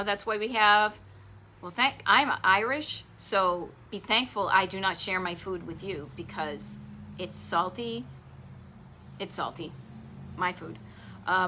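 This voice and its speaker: Bright, chatty woman hosting a live stream. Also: medium pitch. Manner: chatty and rambling, with asides to viewers. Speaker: host of a live travel show. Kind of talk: casual live-stream chat.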